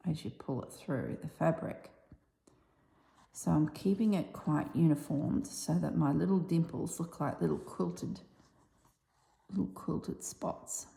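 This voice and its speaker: Husky low-voiced Australian woman, echoey room. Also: slow pace, long pauses. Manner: chatty, softly drops to near-whispers while stitching. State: voice strained from yelling. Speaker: embroidery vlogger. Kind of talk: casual chat while stitching. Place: tiled room with little on the floor.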